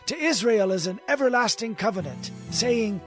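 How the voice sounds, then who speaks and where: A man reads scripture in a dramatized audio production, his voice sitting high and moving freely up and down. The delivery is measured and expressive. Gentle music plays underneath. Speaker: scripture narrator. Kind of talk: dramatized Bible reading. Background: soft music.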